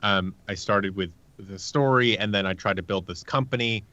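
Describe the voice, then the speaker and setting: A man's voice: fairly low pitch, normal speed, pushed and bright, highs cut off. A man talking casually as an interview guest, explaining at length and acting out bits of conversation. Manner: explanatory, acts out short quoted exchanges. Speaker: guest, audio drama creator.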